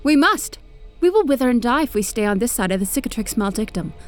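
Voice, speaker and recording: An actress playing a devout, confident machine-cult tech priest with a solemn, formal manner in an audio drama. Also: female voice, a little high, very animated, fast. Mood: fervent, assured. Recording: faint music behind the voice.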